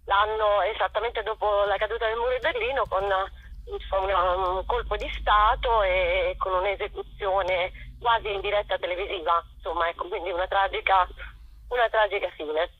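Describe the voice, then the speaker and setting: A woman's voice: medium pitch, fairly even intonation, moderate pace, husky. A woman, a theater author and actress, explaining her work hesitantly as a guest in a spontaneous interview over the phone. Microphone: telephone call. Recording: tinny, muffled telephone line with echo.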